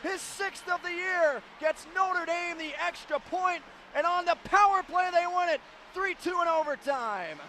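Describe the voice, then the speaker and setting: A man calling live hockey play-by-play on the radio in an excitable manner, ready to build into shouted calls. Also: extremely high pitch, a little slow. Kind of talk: live sports radio commentary. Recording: live broadcast with clear background noise.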